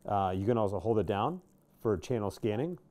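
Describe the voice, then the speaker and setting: Low, mellow American man presenting gear. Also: expressive, a little fast. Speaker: product review presenter. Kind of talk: casual product demonstration. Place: small indoor workshop studio.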